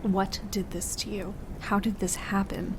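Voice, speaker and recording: A woman's voice: medium pitch, flat delivery, measured pace, noisy background, natural voice. A woman playing an urgent, concerned companion tending the wounded in a gothic horror audio drama.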